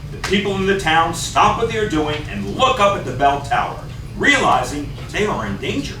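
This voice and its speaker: A middle-aged man narrates a play script in a high, lively voice that moves up and down a lot. He is recorded from far away in a very echoey theatre. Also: moderate pace, soft background music. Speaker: stage directions reader. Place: small theatre stage, far camera.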